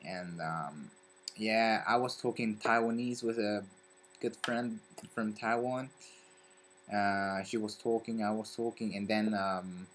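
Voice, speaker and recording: Slow, halting man with a French accent. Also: on the low side, a bit bright. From Belgium. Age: young adult. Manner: halting, searching for words. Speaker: vlogger. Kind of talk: casual vlog talk to camera.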